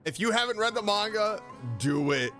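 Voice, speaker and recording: Male reaction host, higher-pitched and bright, expressive casual commentary, light music behind. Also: moderate pace.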